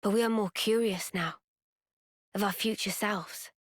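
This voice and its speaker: A woman voicing a thoughtful, gentle young woman in a video game, speaking calmly and reflectively. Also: medium pitch, unhurried, no echo, studio quality, close to an everyday voice. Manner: calm, reflective.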